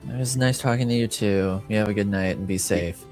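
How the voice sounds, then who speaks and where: A man plays a laconic tavern informant in a tabletop roleplaying game. The voice is fairly low, guarded yet easygoing and understated, with music playing behind it. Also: brisk, darkish tone, lightly acted. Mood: friendly.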